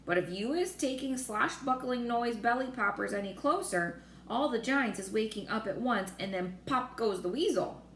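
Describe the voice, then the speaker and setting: A woman voicing a calm, friendly male giant from a children's storybook, speaking in folksy, garbled grammar. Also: on the high side, moderate pace, pitched above the performer's own voice. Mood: warning. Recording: roomy echo with faint noise.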